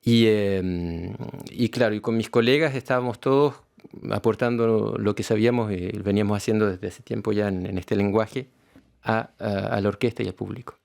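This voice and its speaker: A man's voice: fairly low pitch, normal speed, vocal fry, a little mellow. A man with a Chilean accent, a musician being interviewed, talking reflectively and often searching for words.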